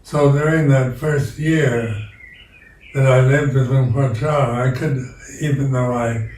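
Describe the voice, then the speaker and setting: Slow, halting older monk, echoey room. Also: male voice, mid-range voice, fairly even intonation, darkish tone, distant mic, a little hiss or hum. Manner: slow, halting, with many repeated words. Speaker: Buddhist monk teacher. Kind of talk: reflective dharma talk.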